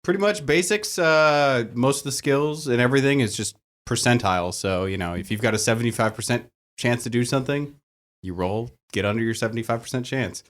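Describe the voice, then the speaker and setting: A man's voice: mid-range voice, a little fast, fairly bright tone. Man casually explaining how games work. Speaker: tabletop and LARP game master, panel guest. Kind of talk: casual explanatory group chat.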